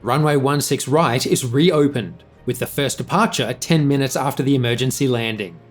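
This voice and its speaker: A male narrator reads a scripted documentary piece at a medium pitch. His delivery is measured and factual. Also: soft background music.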